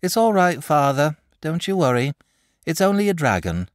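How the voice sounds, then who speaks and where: A man voicing a calm, bookish, self-assured young boy who speaks matter-of-factly. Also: a little high, normal speed, dry recording, studio quality, pitched above the performer's own voice.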